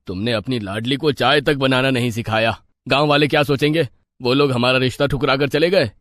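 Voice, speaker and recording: A man's voice: medium pitch, a little fast, a bit bright, lightly acted. An actor playing a stern middle-aged village father in a cartoon, reproachful and worried about shame.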